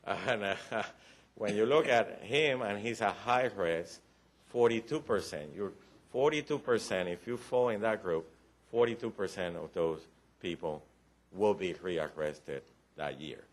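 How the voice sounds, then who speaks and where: A man with a very low, deep voice and a Cuban accent gives a formal briefing in a county meeting room. He explains patiently, often restarting phrases, and adds a little light humor. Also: expressive, unhurried. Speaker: presenter to county commissioners.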